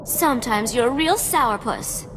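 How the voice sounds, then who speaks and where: A woman's voice: a little high, lively intonation, measured pace. An actress playing a devoted, sweet and flirty female companion in a video game, with a cutesy, coaxing manner. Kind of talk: video game cutscene acting. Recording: long reverb, music underneath.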